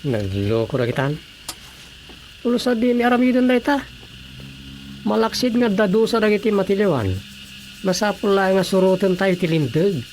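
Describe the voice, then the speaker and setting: A woman's voice: medium pitch, very animated, normal speed, pauses often, darkish tone, close to an everyday voice. A woman playing the earnest grown child of a fishing family in an Ilocano radio drama. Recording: noisy radio drama track.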